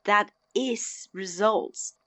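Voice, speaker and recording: A woman's voice: medium pitch, very slow, fairly bright tone, dry recording. A woman playing a sarcastic, exasperated young male pre-med student in an audio drama, with a biting, incredulous, dramatic delivery. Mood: awed, intense.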